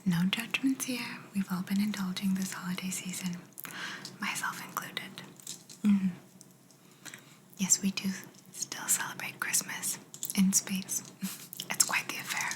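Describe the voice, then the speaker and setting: A woman voicing a calm, politely superior alien examiner in an ASMR sci-fi roleplay, in a soft breathy whisper with a gentle, musing manner. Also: mid-range voice, close to an everyday voice, rough recording. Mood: soft, attentive. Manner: slow, gentle, hesitant musing. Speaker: ASMR performer.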